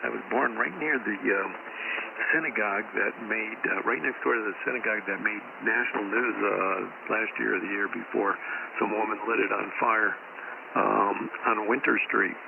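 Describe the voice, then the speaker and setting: A man's voice: on the low side, moderate pace, gravelly. A man from Connecticut, rambling casually on the ham radio and telling stories with many ums. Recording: tinny shortwave radio with static.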